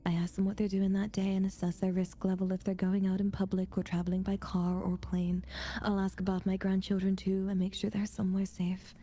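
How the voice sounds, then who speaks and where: A woman's voice: medium pitch, completely flat delivery, a little fast, a little hiss or hum, voice pushed down, close to an everyday voice. A woman voicing an anxious eighty-two-year-old in a whispered, solemn scary-story confession for ASMR. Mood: uneasy, resigned. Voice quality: breathy, hushed whisper. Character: anxious 82-year-old grandparent haunted by mysterious sympathy cards.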